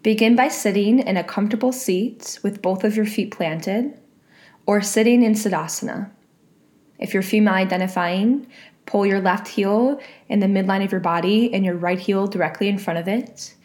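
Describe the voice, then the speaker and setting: A woman leading a guided meditation, giving calm instructions with long pauses. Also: medium pitch.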